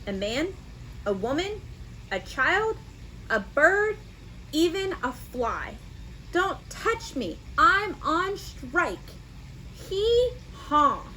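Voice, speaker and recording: A stubborn young storybook donkey, as a woman reader puts it on, grumbling with theatrical indignation in a fairly high voice. The delivery is unhurried, and there is faint noise behind it. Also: very animated, sharp tone, exaggerated voice, poor audio. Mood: defiant. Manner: indignant, exaggerated storybook complaining. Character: stubborn, huffy young donkey telling his side. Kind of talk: children's storybook read-aloud.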